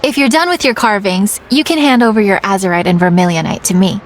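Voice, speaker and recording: A woman's voice: medium pitch, fast, slight background noise, close to an everyday voice. A woman playing a friendly, caring festival craft worker in a video game, breezy and motherly in manner. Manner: breezy, motherly toward children.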